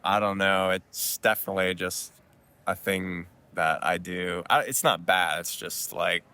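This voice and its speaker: A man's voice: low voice, measured pace, sharp tone. A young man co-hosting a podcast, talking in a hesitant, easygoing way with many fillers, recorded at an airport. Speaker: podcast co-host. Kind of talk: casual chat. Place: airport waiting area.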